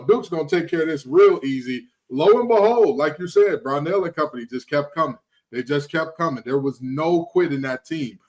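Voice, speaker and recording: A fairly high-voiced man gives casual sports commentary on a panel show. He is emphatic and likes to repeat a phrase to drive it home.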